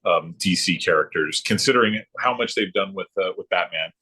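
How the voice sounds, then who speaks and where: A middle-aged man chatting casually about his hobby as a podcast guest, haltingly, often circling back and repeating himself. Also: low-pitched, pushed and bright, poor audio. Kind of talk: casual chat about comic books.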